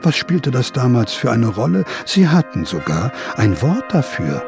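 A sardonic inner voice with a gravelly creak. It taunts and scolds theatrically, like a stern shadow-self in a radio play, with music clearly behind it. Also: male voice, mid-range voice, big pitch swings, measured pace. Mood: mocking. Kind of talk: radio drama acting.

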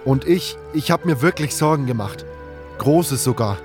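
A man playing a smitten, awkward young adventurer who speaks haltingly in a scripted fantasy audio drama. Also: medium pitch, expressive, over music, close to an everyday voice. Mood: earnest, smitten. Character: nameless male adventurer, smitten, awkward, self-deprecating hero. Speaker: narrator and lead actor of a fan audio drama. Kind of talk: first-person scripted narration and dialogue.

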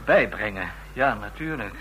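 An actor playing a nervous, bungling junior agent in a radio drama, flustered, pleading and stammering. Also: male voice, lowish voice, animated, moderate pace, a bit bright, a little hiss or hum, poor audio.

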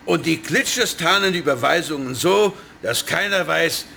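An actor playing a sinister, short-tempered hacker boss in a children's radio play, domineering and scornful. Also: male voice, fairly high pitch, normal speed, gravelly, bright, forceful tone, slight background noise, full character voice. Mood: scheming. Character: finster, bossy male hacker boss, short-tempered schemer.

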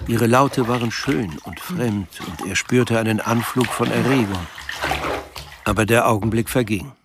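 A man's voice: on the low side, measured pace, noisy background. A man acting as the observant, wry narrator of a German radio drama, reading scripted literary prose. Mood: reflective.

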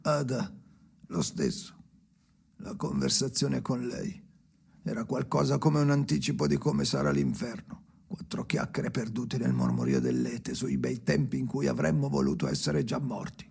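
An actor playing a tormented, bitter ageing man in a radio drama monologue, raspy and often sinking to a whisper, speaking in slow, halting fragments. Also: medium pitch, big pitch swings, darkish tone, full character voice. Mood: weary, resentful. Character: tormented ageing man haunted by his dead father, brooding, bitter.

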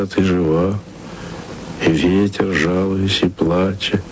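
A tender, lonely radio archivist, in a deep, low register. He murmurs and confides, as if talking to someone who is not there. The recording carries a clear hiss of noise. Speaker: lead in a radio play. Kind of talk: intimate radio drama monologue. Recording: noisy studio recording.

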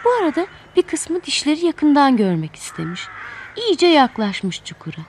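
A woman telling a children's fairy tale in expressive, scripted narration. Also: high voice, normal speed, slight background noise. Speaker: children's storyteller.

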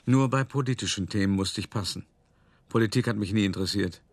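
An actor playing a self-important older art dealer who tells of his past in an expressive, articulate, wry monologue for a radio play. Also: lowish voice, fairly quick, no room sound. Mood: wry narration. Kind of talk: theatrical first-person monologue, storytelling.

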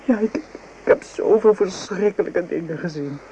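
An actress playing an anxious, devoted French farm servant in a radio play, earnest and hesitant. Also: female voice, on the low side, normal speed, dark tone, lightly acted. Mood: earnest, subdued. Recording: dull, noisy old radio drama recording.

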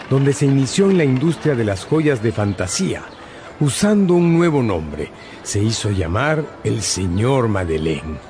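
A man's voice: medium pitch, darkish tone. A man narrating a radio drama as a storyteller, with dramatic emphasis.

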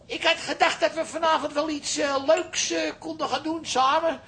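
A middle-aged dad in a radio play. His voice is bright and forward, and he coaxes and nags like a fussy, sentimental parent doting on a grown-up daughter. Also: extremely high pitch, steady tone, normal speed, slight background noise, heavily acted. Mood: eager coaxing. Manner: coaxing, nagging, sentimental. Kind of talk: radio drama acting.

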